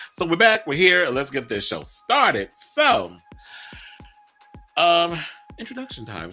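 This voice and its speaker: A man from New York hosting a casual interview show, chatty, playful and animated. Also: medium pitch. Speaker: interview host.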